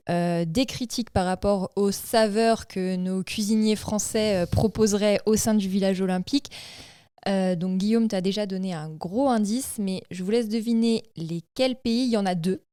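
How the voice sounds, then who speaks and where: A woman hosting a casual chat, calmly laying out topics and quizzing her co-hosts. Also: medium pitch, clean tone, dry recording. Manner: steady, lays out topics and quizzes the others. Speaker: host.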